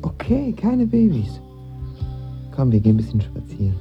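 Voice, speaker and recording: A man's voice: mid-range voice, huge pitch swings, moderate pace. An actor playing a lovestruck, impulsive young man who narrates his romance in an intimate, reflective audio drama style. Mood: eager, playful. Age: young adult. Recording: dull studio sound with music behind.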